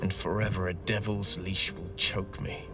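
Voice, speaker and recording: A man's voice: deep voice, flat delivery, moderate pace, natural voice. A man playing a noble, earnest adventurer in a fantasy game, eloquent and sincere. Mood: earnest, hopeful. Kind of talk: video game voice acting. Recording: slightly distant, loud music behind.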